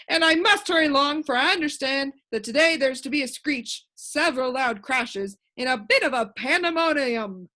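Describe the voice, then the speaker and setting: Lady doing a shrill storybook noise monster guy, super high, bellows everything, gleeful n weepy. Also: lively intonation, pushed and bright. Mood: eager, appreciative. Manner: bellowing, drawn-out exclamations. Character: loud, emotional, shapeless male noise creature, gleeful and weepy.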